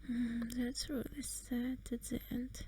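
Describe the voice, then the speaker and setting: A woman giving soft, sparse, murmured reactions as she plays a game casually. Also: a little high, level tone, slow, noisy background. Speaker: gamer, streamer.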